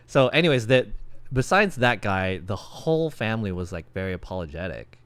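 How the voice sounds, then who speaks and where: Deep, smooth-voiced man in his thirties. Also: sing-song, fairly quick, fairly bright tone, no echo. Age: 30s. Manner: loose, rambling with fillers. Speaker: podcast host and streamer. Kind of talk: casual podcast chat.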